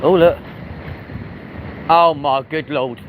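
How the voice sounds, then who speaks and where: A man's voice: on the high side, steady tone, slow pace, phone-quality sound, slight background noise. A young man with a London accent, chatting casually as the host of a live stream outdoors in a city, joking and reading out comments. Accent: London, with a mix of areas. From South West London, England. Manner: chatty and jokey, reads chat comments aloud.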